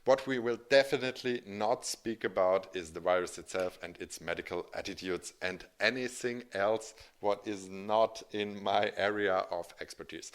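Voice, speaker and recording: Deep-voiced German man explaining things. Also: fairly bright tone, smooth. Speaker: financial educator and channel host. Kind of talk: informal explanatory presentation.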